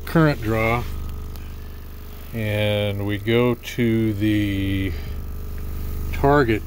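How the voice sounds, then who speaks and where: Low-voiced man, noisy workshop. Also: extremely slow. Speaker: speaker repair technician. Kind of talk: casual hands-on repair demonstration. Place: small repair workshop, indoors.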